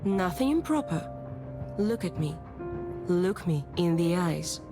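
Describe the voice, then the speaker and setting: A mysterious fortune-teller in a detective visual novel. She is self-assured, coaxing and teasing, with a lowish female voice over prominent music. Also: expressive, takes it slowly. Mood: coaxing, confident.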